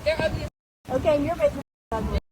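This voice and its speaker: A woman's voice: high voice, fast talker, rough recording. A woman filming herself outdoors on a city street with a handheld phone, agitated and argumentative. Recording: phone video on a loud street.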